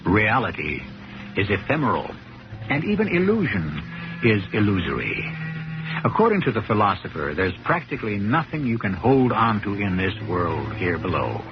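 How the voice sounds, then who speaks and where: Low, theatrical male radio drama host. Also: very animated, over music. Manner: rhetorical, deliberate, philosophical. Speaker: host and narrator. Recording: muffled 1970s radio broadcast.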